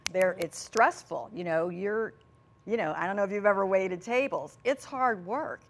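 Middle-aged female guest, average pitch, chatty storytelling with emphatic repeats. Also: moderate pace, a little hiss or hum. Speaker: interview guest, small business representative. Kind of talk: conversational anecdotal talk.

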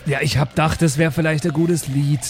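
A man with a slightly high voice plays in an improvised tabletop game. He talks casually, working out his moves as he goes, with music underneath. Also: little pitch movement, fairly quick, creaky. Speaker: player in a role-playing podcast. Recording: music bed behind the voice. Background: background music.

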